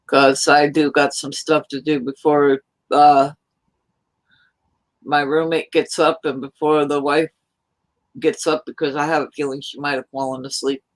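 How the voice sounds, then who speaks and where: A woman from New York chatting casually on a live stream, rambling in a loud, hyper way. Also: sits low, poor audio. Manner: rambling, hyper, self-described loud talker.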